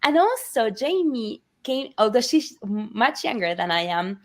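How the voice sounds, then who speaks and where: A woman from Argentina, telling the story of her book as an interview guest with animated, earnest warmth. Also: on the high side, unhurried. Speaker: author guest. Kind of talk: conversational interview.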